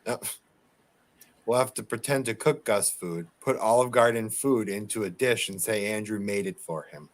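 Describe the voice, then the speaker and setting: A man's voice: on the low side, normal speed. A man from Boston with a Boston accent, bantering and teasing in a casual livestream chat.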